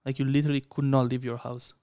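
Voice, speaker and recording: A young man from Italy, a guest on a podcast, chatting in an easygoing way and leaning on "like". His voice is smooth and clear, with little rise and fall in pitch. The sound is close and dry. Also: fast, dry recording. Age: 20s. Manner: relaxed, leans on "like" a lot. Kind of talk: casual conversation.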